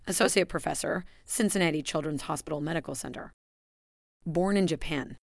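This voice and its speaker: A woman reading a scripted announcement of award winners in a measured, formal way. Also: low voice, creaky, no echo, clean studio sound. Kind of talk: scripted narration.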